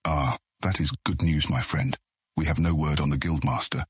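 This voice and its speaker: A man playing a grave, authoritative guild deputy in an audiobook drama, with a deep, husky voice and measured, formal speech. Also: steady tone, dry recording, studio quality, exaggerated voice.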